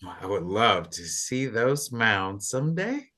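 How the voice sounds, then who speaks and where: A woman's voice: a bass voice, sing-song, slow, a bit bright, full character voice. A woman playing a flirty, laid-back, fun-loving man in an audio play, with a playful delivery. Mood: flirtatious.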